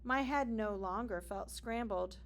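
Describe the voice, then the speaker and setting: A woman's voice: mid-range voice, a little slow, slight background noise. A woman reading a children's picture book aloud with dramatic emphasis and short explanatory asides, like a kindergarten teacher.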